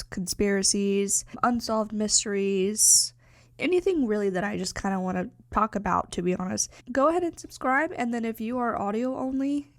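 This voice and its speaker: Stuffy, congested young woman, gravelly voice. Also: medium pitch, dry recording, clean studio sound. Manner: chatty, many fillers. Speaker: true crime podcast host. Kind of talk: casual chatty podcast talk. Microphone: sitting to film, close mic.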